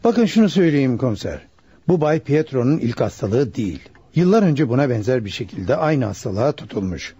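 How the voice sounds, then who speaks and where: A man acting a calm, knowing doctor who explains things in a measured way in a radio play. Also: medium pitch, husky, no echo, muffled recording. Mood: calm, explanatory. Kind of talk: Turkish radio theatre acting.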